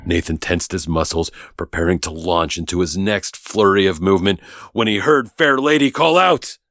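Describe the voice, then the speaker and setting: A man's voice: low-pitched, normal speed, fairly bright tone, dry recording. A man narrating a scripted story in a dramatic storytelling style.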